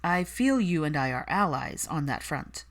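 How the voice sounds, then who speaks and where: A woman voicing a composed, wry, probing man of an old wizard family, with a smooth, measured manner in audiobook dialogue. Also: low-pitched, sing-song.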